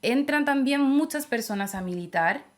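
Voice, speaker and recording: A woman's voice: high voice, animated, moderate pace. A young adult woman with a Chilean accent, giving reflective opinions in a conversational interview. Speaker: interview guest, political activist.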